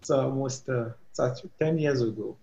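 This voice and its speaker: A man's voice: medium pitch, a little fast, creaky, mellow, echoey room, highs cut off. A man in his twenties from Nigeria, a guest on an interview podcast, speaking hesitantly with many ums and restarts. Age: 20s. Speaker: guest, physics PhD student and startup co-founder.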